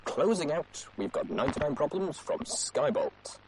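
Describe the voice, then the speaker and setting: A man's voice: a little high, sing-song, moderate pace, gravelly, darkish tone, slight background noise, low-quality recording. A man hosting a show, talking in a casual, slangy and colloquial way. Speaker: host. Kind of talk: casual show sign-off and commentary.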